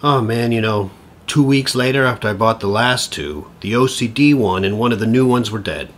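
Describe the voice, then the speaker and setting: An actor playing an eager, rambling, over-familiar middle-aged divorced dad in an audio drama. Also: male voice, fairly low pitch, normal speed. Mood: wry, rambling. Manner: stammering, over-familiar, excitable.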